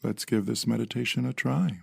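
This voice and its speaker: A man calmly leading a guided meditation in measured phrases with long pauses. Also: medium pitch, creaky, husky, no echo, studio quality.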